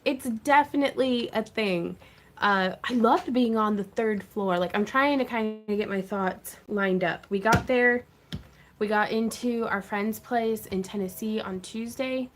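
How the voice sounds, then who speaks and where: A woman's voice: fairly high pitch, measured pace, slight background noise. A woman hosting a craft livestream, chatting casually and laughing often as she greets viewers. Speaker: craft channel host.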